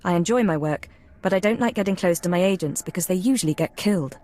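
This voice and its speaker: An actress playing a guarded, efficient intelligence watcher in a video game, speaking in a businesslike way. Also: female voice, on the low side, darkish tone, close to an everyday voice. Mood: brisk, guarded. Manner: brisk, businesslike.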